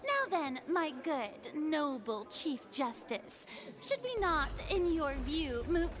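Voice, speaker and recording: Pompous, high-voiced theatrical young lady prosecutor. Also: sing-song, crowd noise, heavily acted. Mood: smug, triumphant. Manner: grandiose, formal, deliberate delivery. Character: pompous, theatrical young woman prosecutor, accusing and self-assured. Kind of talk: dramatic video game voice acting.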